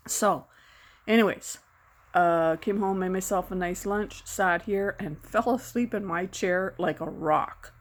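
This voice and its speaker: Woman in her sixties, hoarse, rambling home chat, low-ish voice. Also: a little slow. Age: 60s. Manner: rambling, self-deprecating, chuckles often. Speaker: vlogger. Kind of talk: casual off-camera chat. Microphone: camera mic, speaking from behind the camera.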